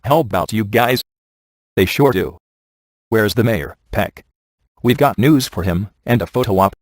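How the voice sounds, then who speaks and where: A man's voice: fairly low pitch, a little slow, dry recording. A man voicing a cocky, wisecracking, flirtatious middle-aged ghost hunter in a video game, with dry, sarcastic delivery. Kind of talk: video game voice acting, one-liners.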